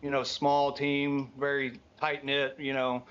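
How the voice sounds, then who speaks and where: A man's voice: mid-range voice, monotone, takes it slowly, a bit bright, smooth, muffled recording. A man guesting on a casual podcast, easygoing and full of fillers, laughing lightly between thoughts.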